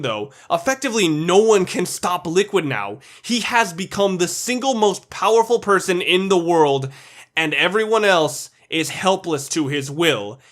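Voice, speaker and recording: A man's voice: fairly high pitch, a bit bright. A man giving scripted commentary as the narrator of a countdown video essay.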